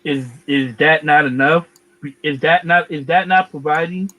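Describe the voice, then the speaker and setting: Higher-pitched man debating casually. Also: measured pace, sharp tone, poor audio.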